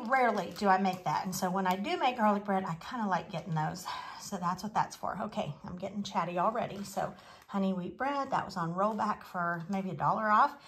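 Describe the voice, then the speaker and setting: A woman's voice: mid-range voice, a bit bright. A woman vlogger talking informally through a haul at home, with folksy, rambling asides. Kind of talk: chatty grocery haul narration. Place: home kitchen, indoors.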